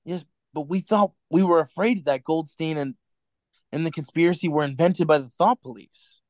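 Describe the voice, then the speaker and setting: Earnest, anxious young-hearted rebel played by an actor, dry sound. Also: male voice, fairly high pitch, darkish tone, no echo, lightly acted. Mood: nervous, resolute. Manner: earnest, hesitant, halting. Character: earnest, anxious male rebel and lover in a dystopia. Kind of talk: radio play acting.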